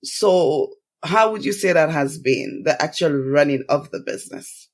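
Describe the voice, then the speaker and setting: Gravelly-voiced man hosting an interview. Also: on the high side, expressive, measured pace. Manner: polite, guiding, scripted segues. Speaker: podcast host. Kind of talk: interview questions.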